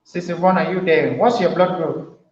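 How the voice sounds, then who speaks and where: A man's voice: on the high side, steady tone, fairly quick, echoey room, highs cut off, a little hiss or hum. A man from Cameroon with a Cameroonian English accent, hosting a casual group call, asking questions and often repeating himself. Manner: chatty, asks guests questions, repeats himself. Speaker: host of an online health forum.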